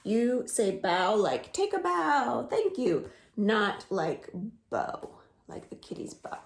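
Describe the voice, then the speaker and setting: A woman reads a picture book to small children, slow and coaxing, with a lively singsong swing in her fairly high voice. A slight gravelly fry sits at the bottom of her tone. The room sounds echoey, with faint noise underneath. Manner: slow, singsong and coaxing, asks the listeners to join in. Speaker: children's librarian. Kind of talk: storytime reading to children. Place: at home.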